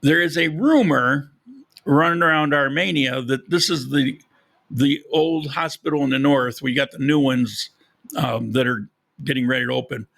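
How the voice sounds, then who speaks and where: A man's voice: medium pitch, fairly even intonation, moderate pace, creaky, a bit bright. A man hosting a live podcast, rambling casually with frequent restarts and losing his voice. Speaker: podcast host. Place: lives in Colombia.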